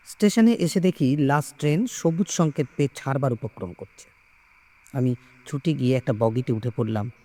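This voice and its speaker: A man reading a story aloud in a measured, expressive, suspenseful way with dramatic pauses. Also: medium pitch. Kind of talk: dramatic scripted story narration.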